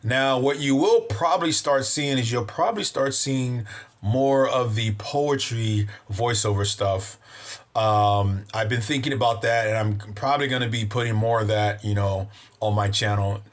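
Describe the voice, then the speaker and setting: A man's voice: medium pitch, moderate pace. A man hosts his own video channel and chats casually with his audience in a mid-range voice. He talks in an upbeat, grateful way and rambles, leaning on "you know" between thoughts. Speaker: vlog host. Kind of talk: casual chat to viewers.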